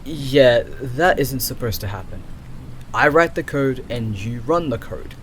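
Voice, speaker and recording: A man's voice: medium pitch, unhurried, a bit bright, natural voice. Man acting an exasperated AI programmer, noisy backdrop. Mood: concerned, scolding. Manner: exasperated, sighing, sarcastic. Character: exasperated male creator and programmer of an archive AI. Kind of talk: audio roleplay acting.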